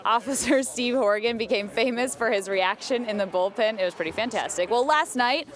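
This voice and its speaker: A woman's voice: a little high, fairly quick. An American woman working as a TV reporter, giving live morning news from outdoors with casual banter. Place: outdoors outside a city ballpark. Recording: live outdoor broadcast with background street noise.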